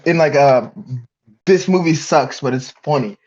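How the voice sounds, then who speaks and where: A man's voice: a little high, a little slow, a little mellow. A man in a casual podcast chat, boisterous and slangy, swearing heavily and repeating himself for emphasis. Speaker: podcast guest. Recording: dull lo-fi microphone.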